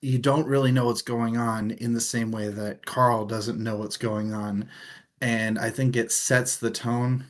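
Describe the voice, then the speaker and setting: A man's voice: mid-range voice, steady tone, a little slow. A man co-hosting a casual book discussion in an irreverent, casually profane way. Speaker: podcast co-host.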